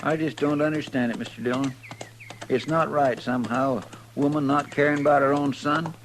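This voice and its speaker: An actor playing an earnest, deferential deputy sidekick with a rural American accent in a 1950s radio western, folksy and plain-spoken. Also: male voice, on the high side, fairly even intonation, normal speed, lightly acted. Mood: puzzled, earnest. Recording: faintly noisy old radio recording.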